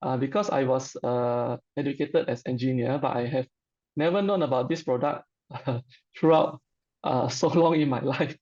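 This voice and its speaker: A middle-aged man with a Malaysian accent, giving an informal personal testimonial in a halting, hesitant way. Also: medium pitch, steady tone, a little mellow, lo-fi sound.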